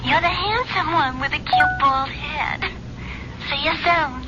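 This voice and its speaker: High-voiced, flirty radio drama waitress. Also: female voice, slow. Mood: flirty, coy. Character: flirtatious, sugary waitress on a telephone call. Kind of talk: 1970s radio mystery drama acting. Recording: muffled, very noisy old radio broadcast.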